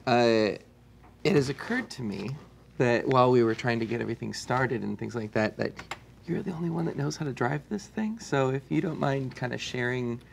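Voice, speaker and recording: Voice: medium pitch, animated, normal speed, gravelly, a little hiss or hum. A player at a tabletop game, speaking to another character in a friendly, coaxing way.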